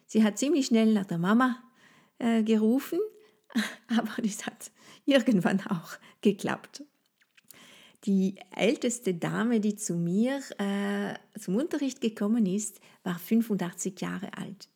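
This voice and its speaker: A woman with a French accent, a native French speaker, hosting a solo podcast in a gentle, inviting way and speaking straight to the listener. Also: mid-range voice, slow pace. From France. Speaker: podcast host and French language trainer.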